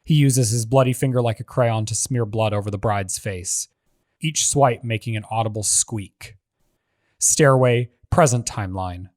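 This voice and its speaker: A man reads out screenplay action lines in a measured, even delivery at a middle pitch. The recording is dry and close, with almost no room sound. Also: no echo. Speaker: narrator reading stage directions. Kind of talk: scripted narration of a screenplay.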